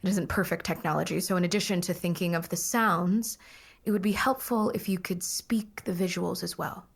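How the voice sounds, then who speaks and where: A husky, creaky-voiced dream therapist. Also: female voice, lowish voice, fairly even intonation, moderate pace. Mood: instructing. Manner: coaxing, guiding. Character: earnest, reassuring female dream therapist, visionary scientist. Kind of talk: psychological horror audio drama acting.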